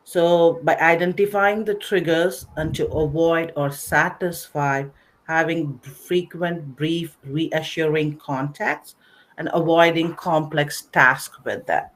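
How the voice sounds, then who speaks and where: Slow-paced woman giving a lecture. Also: deep voice, fairly even intonation, rough recording. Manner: didactic, recapping, pausing between short phrases. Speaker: webinar presenter, nursing educator. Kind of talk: slide-based lecture, explanatory.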